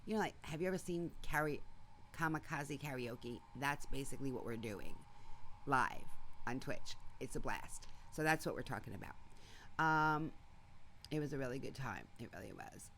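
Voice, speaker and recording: A woman's voice: a bass voice, animated, moderate pace, fairly bright tone, slight background noise. A woman hosting a casual morning livestream, chatting and rambling with viewers by name while she is losing her voice. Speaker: livestream host. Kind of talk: casual chat with live viewers.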